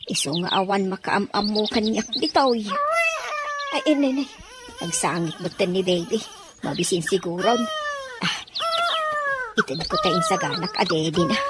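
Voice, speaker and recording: A woman's voice: medium pitch, heavy background noise. A woman playing a fretful, kindly older nanny who mutters, exclaims and soothes in an audio drama. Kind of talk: amateur audio drama acting.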